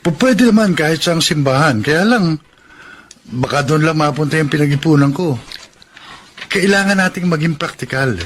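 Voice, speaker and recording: An actor with a Filipino accent playing a practical, working-class young suitor in a radio drama, with an earnest, pleading manner. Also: male voice, a little high, lively intonation, moderate pace, long pauses, a bit bright, slight background noise. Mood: pleading, hurt. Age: young adult. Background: faint music.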